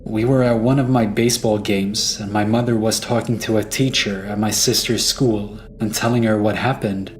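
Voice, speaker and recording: A man's voice: fairly low pitch, steady tone, normal speed, few pauses, slight background noise. A man narrating a scripted first-person horror story. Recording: music behind the voice.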